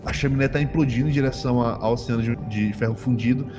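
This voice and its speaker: A Brazilian man improvising tabletop game narration as game master, halting and often repeating his phrases. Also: medium pitch, steady tone. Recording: long hall reverb, music behind.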